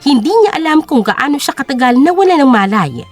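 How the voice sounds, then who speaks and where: Casting: female narrator, high register, very animated intonation, scripted melodrama storytelling. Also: fairly quick. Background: music.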